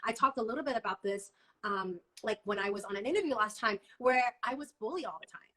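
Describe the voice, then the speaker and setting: Bright affirming woman hosting a call. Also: fairly high pitch, animated, fast talker. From Hong Kong. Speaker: host. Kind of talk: casual video-call interview. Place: home room. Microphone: video call.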